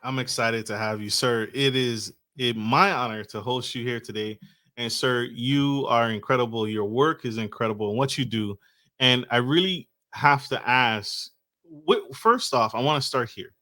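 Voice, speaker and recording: Bright, effusive male podcast host. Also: medium pitch, moderate pace. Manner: effusive, enthusiastic, stumbles over names. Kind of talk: podcast interview.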